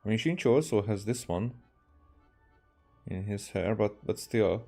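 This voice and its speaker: A man from Hungary, a native Hungarian speaker, streaming a game with casual, halting commentary. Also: on the low side, vocal fry, mellow. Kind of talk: casual game commentary, reading dialogue aloud. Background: game music.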